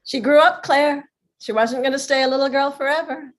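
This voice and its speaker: An actress playing a wry, protective, gently firm grandmother in a staged play reading. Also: sits high, a little fast, natural voice.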